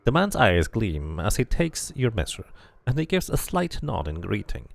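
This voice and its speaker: A man's voice: lowish voice, big pitch swings, moderate pace, creaky, dry recording. A man streaming a game, switching between casual commentary and reading the story text aloud as narration.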